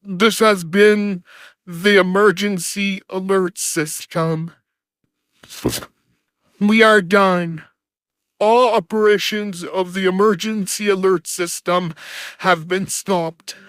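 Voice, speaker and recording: A man's voice: high voice, fairly even intonation, slow pace, long pauses, a bit bright, clear, resonant tone, no room sound. A man reading a formal scripted announcement as an emergency alert announcer, in an official synthetic manner.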